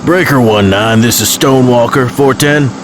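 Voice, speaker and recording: A man's voice: medium pitch, normal speed, lightly acted. A man playing a worried family-man trucker, plain-spoken and full of CB slang, in an audio drama. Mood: worried, resolved. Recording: noisy CB radio in truck cab.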